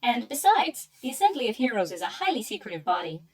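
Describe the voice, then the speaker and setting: A woman's voice: a little high, very animated, fast, a little mellow, distant mic. A woman playing an over-polite, perky robot messenger in a radio play, formal and officious. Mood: brightly officious. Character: chirpy, officious female robot messenger with forced friendliness.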